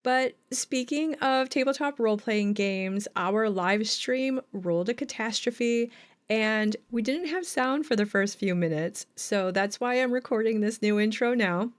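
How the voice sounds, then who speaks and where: A woman with a fairly high-pitched voice speaks as a game designer and co-host. She talks in a conversational way, explaining creative decisions. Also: a little slow, smooth.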